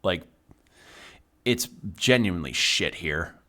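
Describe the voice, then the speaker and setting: A man's voice: low-pitched, lively intonation, slow, bright, forceful tone. A man from Texas with a Midwestern American accent, chatting casually and swearing as he streams a game. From Texas, USA. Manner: rambling, sweary, talks while playing. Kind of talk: casual let's-play chat.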